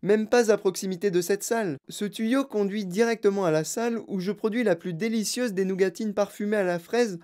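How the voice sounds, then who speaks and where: A woman's voice: mid-range voice, brisk, hardly any pauses, warm tone, voice pushed up, natural voice. A woman voicing an eccentric male chocolate maker who talks in an airy, emphatic, theatrical way, in a storybook reading. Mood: soothing.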